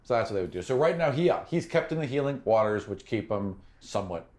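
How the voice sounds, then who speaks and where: A man's voice: on the low side, animated, fast, darkish tone. A man working as game master, narrating a tabletop game in a descriptive, conversational way and thinking aloud as he goes.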